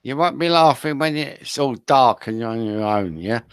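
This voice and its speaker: A man's voice: mid-range voice, animated, normal speed, clean tone, no room sound, studio quality. A man co-hosting in casual, sweary banter, protesting defensively. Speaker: co-host.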